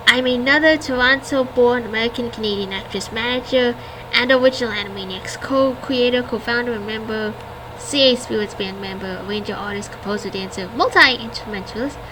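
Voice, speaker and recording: A woman's voice: on the high side, normal speed, noisy background. A woman in her twenties, a vlogger reading a scripted history and reciting long lists of names and dates. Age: 20s.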